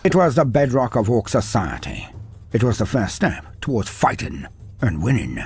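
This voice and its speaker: A man's voice: mid-range voice, huge pitch swings, normal speed, a little mellow, husky. A man narrating a humorous story in a dry, wry manner, breaking off into playful sidebars. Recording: faint music behind narration.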